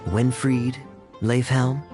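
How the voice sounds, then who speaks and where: An actor playing a suave, teasing cavalry captain in a video game, with a smooth theatrical drawl over background music. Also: male voice, mid-range voice, steady tone, slow pace, close to an everyday voice. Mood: teasing, sly. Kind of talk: video game dialogue acting.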